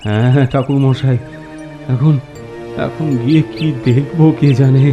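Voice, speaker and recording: A man voicing an excitable, blustering village husband in a cartoon, exclamatory and easily rattled. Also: medium pitch, normal speed, lo-fi sound, music in the background, lightly acted. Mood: frightened. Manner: blustering, exclamatory, stammering when scared. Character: excitable, dismissive village husband who turns cowardly. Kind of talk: cartoon voice acting.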